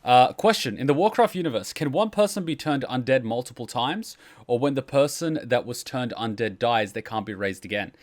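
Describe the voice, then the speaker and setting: A man's voice: mid-range voice, lively intonation, normal speed, hardly any pauses. A man hosting a reaction stream, giving casual explanatory commentary. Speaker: host of a game lore reaction stream.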